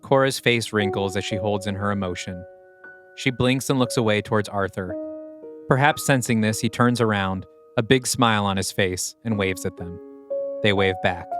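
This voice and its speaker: A man reading scripted, present-tense narration for an audio play as its narrator. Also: fairly low pitch.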